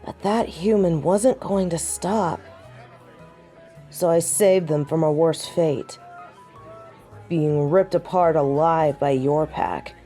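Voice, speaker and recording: Woman acting as a dark-voiced, slow-speaking ancient werewolf. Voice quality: low, dark and mellow. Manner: slow, measured, brooding. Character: jaded, centuries-old female werewolf, proud but weary. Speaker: audio drama voice actor. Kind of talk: dramatic audio roleplay acting.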